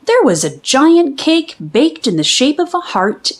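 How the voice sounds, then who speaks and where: A woman reading a children's storybook aloud as an expressive narrator. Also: high voice, measured pace.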